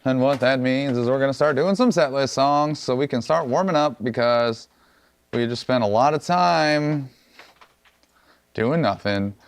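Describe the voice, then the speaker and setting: A man's voice: mid-range voice, unhurried. Guy hosting a live music stream, jokey, scats random syllables, normal middle voice. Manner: loose, joking, breaks into nonsense scat syllables. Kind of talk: casual chat with scat singing.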